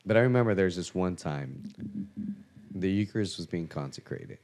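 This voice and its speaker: A man hosting a faith podcast speaks in a relaxed, conversational way. He shares personal stories thoughtfully, and he laughs often along the way. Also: deep voice, moderate pace. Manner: reflective storyteller, laughs often. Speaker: podcast host.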